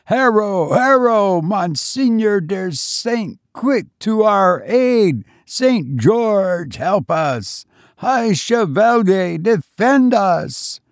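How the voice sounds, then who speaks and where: Ghostly medieval bowmen, as a male reader puts them on, lift their voices high and ring out in fervent battle cries. The delivery is pitched well up from his normal speaking voice, full of urgent zeal. The sound is close and dry. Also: big pitch swings, very slow, no room sound, full character voice.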